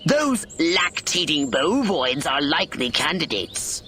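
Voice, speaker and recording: Fussy know-it-all alien boss, bright and lecturing, swooping pitch. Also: male voice, big pitch swings, unhurried, a little hiss or hum, full character voice. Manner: pompous, lecturing. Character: scheming alien commander on the mothership, fussy, condescending.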